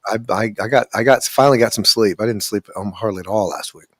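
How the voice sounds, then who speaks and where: Male panel guest, quick low voice, dry sound, effusive, repetitive casual talk. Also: a bit bright, breathy edge, dry recording. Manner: effusive, repeats himself. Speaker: guest panelist with own channel. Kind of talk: casual chat.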